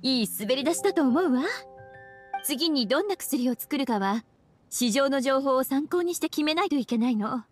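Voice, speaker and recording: A woman's voice: high voice, animated, moderate pace, soft background music. Sultry teasing witch librarian. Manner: languid, teasing, calls herself big sister. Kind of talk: Japanese game dub.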